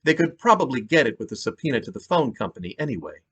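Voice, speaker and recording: An American man narrates his own fiction aloud as an author. His pitch is middling but moves widely, and his voice has a slightly rough, husky grain. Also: big pitch swings, fast, a bit bright. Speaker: author reading own novel. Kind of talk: scripted narration, reading aloud. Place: home basement.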